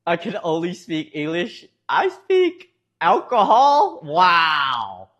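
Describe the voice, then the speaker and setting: A man's voice: high-pitched, huge pitch swings, takes it slowly, fairly bright tone, highs cut off. A man with a Midwestern American accent streaming from home, rambling casually to his chat and laughing often.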